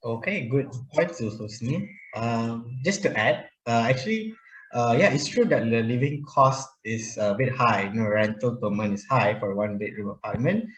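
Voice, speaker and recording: A man's voice: mid-range voice, lively intonation, normal speed, slight background noise. Young man leading a discussion, echoey. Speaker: discussion moderator.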